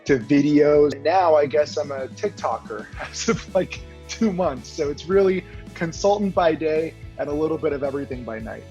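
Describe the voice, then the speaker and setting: A young man from Honduras tells stories in a chatty, easygoing way, full of "like" fillers. His voice sits a bit high for a man. The audio sounds dull and lo-fi, with faint music underneath. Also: expressive, normal speed, dark tone. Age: young adult. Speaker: guest. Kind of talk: casual storytelling. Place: Toronto.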